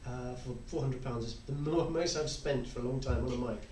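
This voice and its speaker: A man's voice: medium pitch, a little fast, mellow, far from the mic. Man chatting on a panel, echoey room. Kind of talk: casual advice on a panel. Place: indoor convention panel room. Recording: echoey room from off mic, faint noise.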